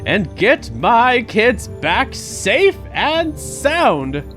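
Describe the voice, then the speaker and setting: Angry, worried parent, female actor, audio drama; impatient, commanding, very slow delivery with wide swings. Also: fairly high pitch, sing-song, fairly bright tone, soft background music, heavily acted. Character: angry, worried parent demanding rescue of missing children.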